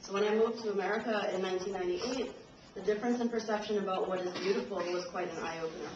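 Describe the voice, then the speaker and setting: A woman's voice: mid-range voice, fast. A young woman reading a prepared speech to an audience, a little nervous and laughing now and then. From Trinidad and Tobago. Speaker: invited speaker. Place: university lecture room. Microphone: camcorder from the audience. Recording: roomy, distant, dull camcorder audio with noise.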